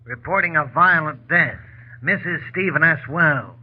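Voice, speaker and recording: A formal male police captain in a vintage radio drama. He reports tersely in a fairly high voice. The sound is thin and phone-like. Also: a little slow. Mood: grave, official. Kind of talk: 1940s radio drama acting. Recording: tinny, phone-like old radio line.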